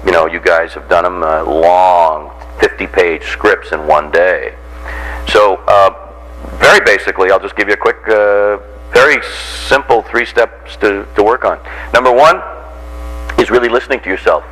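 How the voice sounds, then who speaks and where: Voice coach, American male, lowish pitch, informal and joking as he instructs a group. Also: normal speed, poor audio. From Randolph, near Boston, United States. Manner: jocular, animated teacher who acts out examples and puts questions to listeners. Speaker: voice coach giving a workshop.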